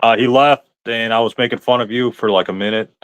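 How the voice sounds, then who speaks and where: A man's voice: medium pitch, fairly even intonation, brisk, a bit bright, clean tone, no echo. A man taking part in a live stream with casual banter, repeating his phrases as he explains things.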